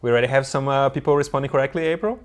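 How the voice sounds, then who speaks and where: A man's voice: mid-range voice, very fast. A man with a Brazilian accent hosts a casual live stream. His talk is loose and friendly, full of fillers and restarts, and he reads viewer comments aloud as he goes. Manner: relaxed, chatty, many fillers and restarts. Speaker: livestream host.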